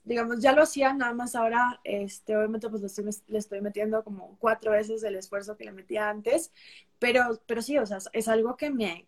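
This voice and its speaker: Chatty young woman, Peruvian–Costa Rican accent. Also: fairly high pitch. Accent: mixed Peruvian and Costa Rican. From Peru. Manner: chatty, many fillers and restarts. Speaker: guest, travel content creator. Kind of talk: casual live-stream chat. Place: traveling on a road trip, remote connection.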